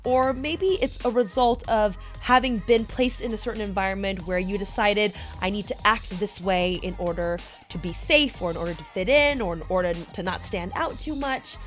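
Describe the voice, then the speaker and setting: A woman's voice: mid-range voice, normal speed, few pauses, faint music behind. A young adult American woman presenting a prepared motivational monologue as a host, explaining things and addressing the listener directly. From United States, small town in Colorado. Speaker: podcast host.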